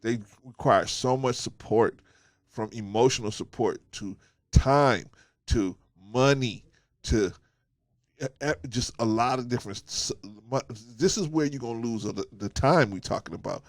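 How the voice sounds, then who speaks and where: A man's voice: medium pitch, measured pace, no echo, clean studio sound. A man with a stuffy nose from a cold, giving a casual opinion monologue as host of his channel, emphatic and preachy, repeating his points and asking "right?".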